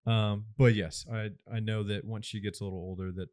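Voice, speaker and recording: एक आदमी, तीस के दशक में, पॉडकास्ट पर हल्के-फुल्के अंदाज़ में बात करता हुआ, अक्सर हँसता और सोचते-सोचते बोलता हुआ।. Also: male voice, on the low side, fairly quick. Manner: animated, laughs often, thinks aloud. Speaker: podcast co-host. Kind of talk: casual chat.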